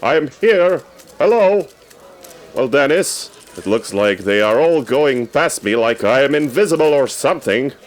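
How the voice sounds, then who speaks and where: A man playing an eager radio correspondent reporting from a red carpet in a comedy sketch, formal and theatrically excited. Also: mid-range voice, unhurried, close to an everyday voice. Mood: snubbed.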